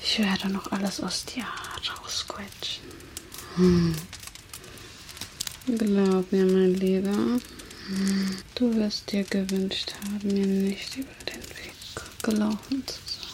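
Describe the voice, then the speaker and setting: A woman voicing a teasing, menacing female vampire captor in a soft, slow ASMR whisper. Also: mid-range voice, little pitch movement, natural voice. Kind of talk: ASMR roleplay. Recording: noisy hiss under close whisper.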